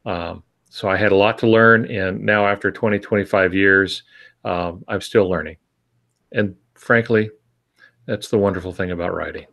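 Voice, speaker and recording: Low-pitched older man, gravelly, measured. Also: fairly even intonation, lo-fi sound. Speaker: podcast co-host and veteran writer. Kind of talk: reflective advice on a podcast.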